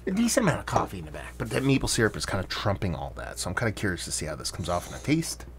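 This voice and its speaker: A man talks through a beer review in a loose, rambling way, often pausing on fillers. His voice sits a little low, and a faint hum runs behind him. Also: creaky. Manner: relaxed, rambling, with many fillers. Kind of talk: casual beer review vlog.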